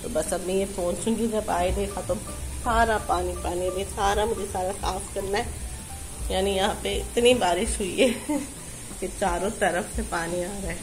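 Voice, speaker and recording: A woman's voice: mid-range voice, fairly even intonation, a little slow, over music, rough recording. A woman in her thirties with a sore throat, chatting and laughing as she films her home on a phone. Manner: chatty, laughs often, talks to the viewers. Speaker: vlogger. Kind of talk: casual home vlog chat. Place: apartment by a window, rain outside. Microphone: handheld phone camera. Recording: phone recording with loud rain noise.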